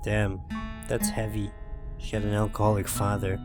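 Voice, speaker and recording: A man's voice: fairly low pitch, completely flat delivery, moderate pace. Jokey man reading a game over music. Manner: jokey, informal, swears, drifts into asides. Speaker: host of a gaming channel, reading a visual novel. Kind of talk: narrated playthrough with dramatic reading and casual asides.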